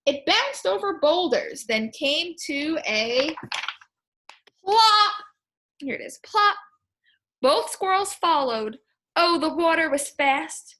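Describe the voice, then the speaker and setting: Slow, high-voiced woman reading to children. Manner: expressive, questioning, explains simply to children. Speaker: primary school teacher. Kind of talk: children's picture book read-aloud with teaching asides.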